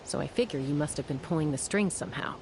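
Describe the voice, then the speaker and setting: Cool, low-voiced young warrior. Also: female voice, quick pace, darkish tone, slight background noise. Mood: frank, reassuring. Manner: frank, composed, matter-of-fact. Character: cool, plain-spoken young female warrior, calmly loyal. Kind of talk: anime game voice acting. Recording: music behind the voice.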